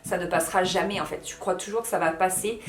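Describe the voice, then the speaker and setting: A woman's voice: on the low side, fast, soft background music. Chatty woman in her thirties, echoey room. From France. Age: 30s. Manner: chatty, frequent fillers and self-corrections. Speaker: lifestyle vlogger. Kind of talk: casual talk to camera. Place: house under renovation, indoors.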